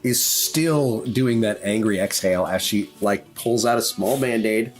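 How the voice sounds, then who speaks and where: A game master narrating an improvised tabletop game in an informal, halting, jokey way, with music behind the voice. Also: mid-range voice. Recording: background music under voice.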